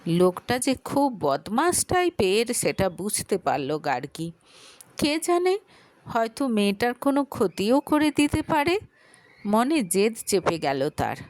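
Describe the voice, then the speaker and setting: A woman narrating a short story in a dramatic, expressive and unhurried manner. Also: medium pitch, no room sound.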